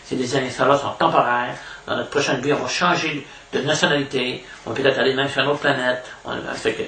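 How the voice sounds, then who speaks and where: A man with a Québécois French accent giving an informal spiritual lecture as a devotional preacher, haltingly, repeating words and adding little question tags. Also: medium pitch, fairly even intonation, sharp tone. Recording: echoey room, distant mic, faint noise.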